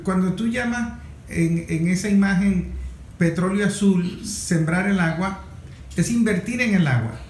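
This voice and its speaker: A man's voice: sits high, unhurried, a little mellow. A Venezuelan man hosting a conversational interview. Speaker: interview host. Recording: echoey room, faint noise.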